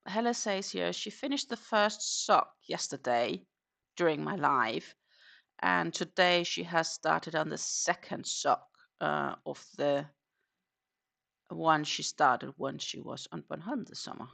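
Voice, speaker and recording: A woman's voice: low voice, slow pace, creaky, fairly bright tone. A woman with a Danish accent chatting casually on a crochet live stream, counting her stitches aloud and answering viewers.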